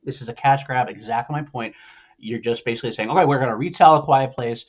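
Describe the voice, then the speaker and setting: A man's voice: medium pitch, fast, lo-fi sound. A man hosting a casual film discussion podcast, talking in an emphatic, rambling, sweary and opinionated way. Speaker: podcast host.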